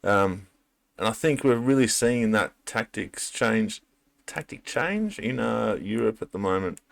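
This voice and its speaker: Male reaction host: low voice, close dry sound, casual and hesitant delivery as he thinks aloud. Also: expressive, unhurried, creaky, no room sound. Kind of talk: casual unscripted commentary.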